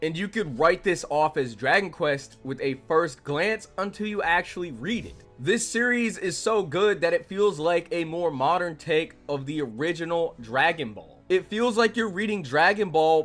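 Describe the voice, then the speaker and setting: Guy reading a scripted review, voice a bit high, music under it. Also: a bit bright. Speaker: manga reviewer. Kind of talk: scripted video essay narration.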